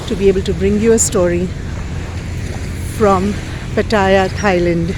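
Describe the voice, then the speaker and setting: A woman telling a folktale outdoors in an expressive, repetitive storytelling manner. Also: mid-range voice, measured pace, background noise. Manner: expressive, repetitive, sound effects. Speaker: storyteller, host. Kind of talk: oral folktale storytelling to camera. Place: outdoors on a beach.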